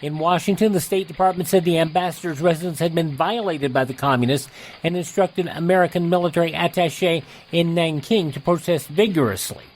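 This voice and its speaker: A man's voice: on the high side, poor audio. A man hosting a radio show, reading old headlines as a scripted announcer. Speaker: radio host and news reader.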